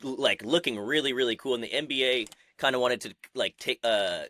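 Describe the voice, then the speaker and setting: A man chats loosely as a podcast co-host and gets excitable quickly. His voice is bright and forceful, at a middle pitch, and the sound is dry and close. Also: no echo. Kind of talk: casual podcast chat.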